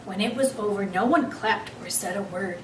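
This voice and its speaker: A woman reading a children's book aloud as an expressive storyteller. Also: medium pitch, normal speed, far from the mic. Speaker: story reader. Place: echoey room, away from the mic. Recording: roomy, off-mic, faint background noise.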